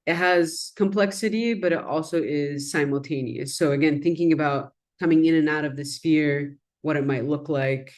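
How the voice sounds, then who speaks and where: A woman's voice: low voice, normal speed, few pauses, a little mellow. A woman, a native Arabic speaker, walks an audience through her design work in an easygoing, thoughtful way, with the odd "um" as she goes. Her tone is conversational rather than polished, like a talk built around slides. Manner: reflective and conversational, with frequent "um" and "kind of". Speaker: design educator presenting her research.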